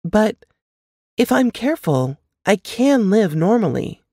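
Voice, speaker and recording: A frail young woman from a storybook, voiced by a woman narrator. She speaks earnestly and with measured resolve, in a mid-pitched voice with expressive movement. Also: no echo, clean studio sound, heavily acted. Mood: resigned, brave.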